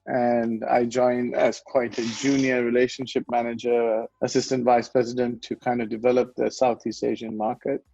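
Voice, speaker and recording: A man's voice: mid-range voice, level tone, moderate pace, gravelly, hardly any pauses, warm tone, dry recording, lo-fi sound. A man in his forties from Sri Lanka, a guest in a conversational interview who talks in a rambling way, corrects himself and chuckles often. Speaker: guest, wealth management founder.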